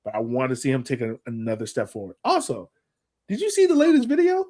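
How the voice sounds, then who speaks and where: A man's voice: mid-range voice, very animated, fast, dark tone. A man hosting a casual soccer podcast, chatting in a slangy, informal way. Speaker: podcast host.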